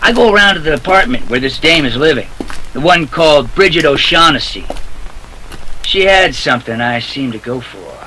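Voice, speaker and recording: Guy doing a sardonic 40s radio private eye, sharp forceful voice kinda high, clipped slang, bit of noise behind. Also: lively intonation, moderate pace, poor audio. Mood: matter-of-fact, wry. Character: hard-boiled, wry private detective telling his case. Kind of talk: 1940s radio noir acting.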